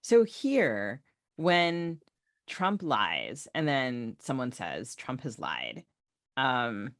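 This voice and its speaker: A woman with a very deep voice co-hosts a casual interview. Her intonation swings widely as she puts informal questions. The sound is dry and close. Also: extremely slow, no echo. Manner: informal, animated. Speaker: podcast co-host.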